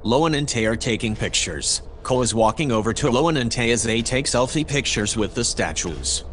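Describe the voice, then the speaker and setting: An actor playing a calm, analytical spaceship AI, narrating in a measured, formal, precise way. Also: male voice, mid-range voice, a little hiss or hum, close to an everyday voice. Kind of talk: audio drama narration.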